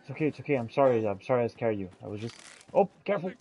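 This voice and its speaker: A man's voice: medium pitch, very animated, very fast, dark tone, highs cut off, slight background noise. A man doing in-character gameplay commentary, exclaiming and talking to himself and his game companions. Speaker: gamer playing in character.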